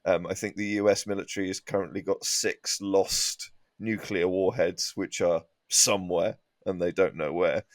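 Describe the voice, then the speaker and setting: A man's voice: sits low, steady tone, normal speed, hardly any pauses, no echo. A man, a comedian guesting on a panel, telling comic anecdotes in a dry, understated, hesitant way.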